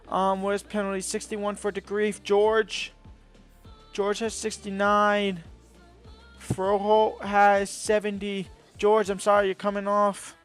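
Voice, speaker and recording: A man giving excitable live commentary over his own video game match, his voice going hoarse. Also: high-pitched. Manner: excitable, bursts into shouts at big moments. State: voice getting hoarse. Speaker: gaming video host.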